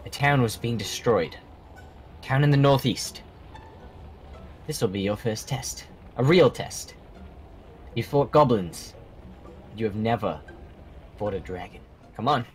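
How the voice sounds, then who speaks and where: A man's voice: medium pitch, animated, a little slow, long pauses, lightly acted. Man acting a stern mentor over music. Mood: stern, instructive. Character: stern, dismissive male mentor training young dragon fighters. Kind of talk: improvised Minecraft roleplay acting.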